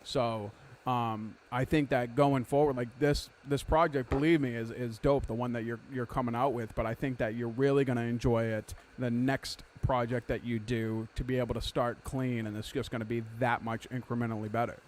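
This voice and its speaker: Emphatic, profane podcast host. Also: male voice, mid-range voice, steady tone, normal speed, hardly any pauses, slight background noise. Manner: emphatic, profane, advice-giving. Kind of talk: casual podcast chat.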